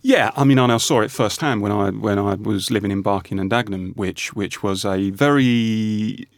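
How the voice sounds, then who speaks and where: A man, a panel guest, arguing his point in an earnest, measured way. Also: on the low side, no room sound, clean studio sound. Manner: earnest, measured, restarts phrases. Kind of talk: panel discussion.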